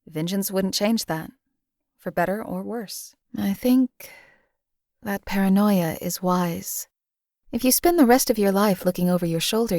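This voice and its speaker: A woman voicing a numb, detached female hired killer in a game, who talks in a measured, dry way. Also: on the low side, mellow, dry recording, natural voice. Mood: dry, wry. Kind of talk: video game companion voice lines.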